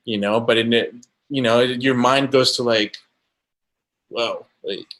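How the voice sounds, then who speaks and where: A man's voice: medium pitch, fairly even intonation, measured pace, fairly bright tone. A man from Colombia, a guest on an interview podcast, speaking in a casual, thoughtful way with many halts and restarts. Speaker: guest camera assistant.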